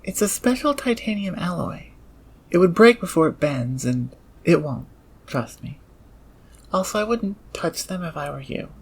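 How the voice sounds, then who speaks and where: An actor as a gravelly, awkward engineer thief. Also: medium pitch, expressive, moderate pace. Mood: dry, pensive. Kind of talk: audio roleplay acting. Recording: clear background ambience noise.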